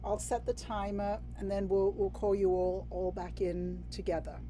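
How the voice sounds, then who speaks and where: A woman's voice: mid-range voice, level tone, normal speed, dark tone, a little hiss or hum. A woman from England hosting a video-call workshop, bubbly and chatty, with many fillers and restarts. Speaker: workshop host.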